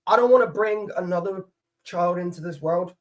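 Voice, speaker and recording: A man's voice: sits high, normal speed. A man in his twenties from Poland, chatting casually as a guest, rambling and swearing easily. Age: 20s. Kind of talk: casual chat.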